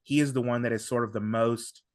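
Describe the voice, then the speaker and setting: A man's voice: mid-range voice, steady tone, dry recording, studio quality. A man chatting casually as a podcast host, enthusiastic and often doubling back on his words. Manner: halting, repeating words, enthusiastic. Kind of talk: casual discussion of audio drama.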